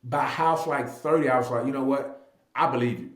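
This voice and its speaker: Male, 30, casual interview guest, emphatic storyteller who repeats key phrases, mid-pitched, roomy echo. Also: fairly quick. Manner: emphatic, repeats phrases, acts out quoted dialogue. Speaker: real estate agent and investor being interviewed. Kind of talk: animated personal storytelling in casual talk. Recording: echoey room.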